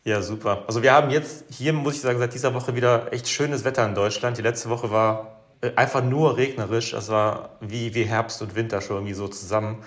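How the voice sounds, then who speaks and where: A man's voice: mid-range voice, fairly even intonation, brisk. A man hosting a podcast, talking casually and informally with encouraging reactions to his co-host and guest.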